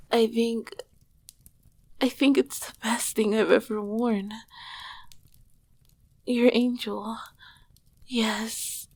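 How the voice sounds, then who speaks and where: A woman voicing an ancient, lonely and affectionate succubus queen in an intimate ASMR roleplay, breathy and often slipping into a whisper, with doting, eloquent phrasing. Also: fairly high pitch, a little mellow, no room sound, exaggerated voice. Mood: delighted, moved.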